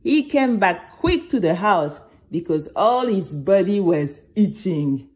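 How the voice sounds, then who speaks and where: A nervous, dreamy girl played by a woman narrates her own children's story. Her delivery is slow and very animated, with dramatic, chatty asides to the listeners. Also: mid-range voice. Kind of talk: scripted first-person storytelling for children.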